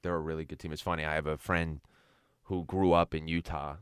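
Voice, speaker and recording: Young male sports radio co-host, deep and resonant, conversational, dry studio sound. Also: level tone, brisk, clear, resonant tone, no echo. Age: young adult. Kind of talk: casual sports talk.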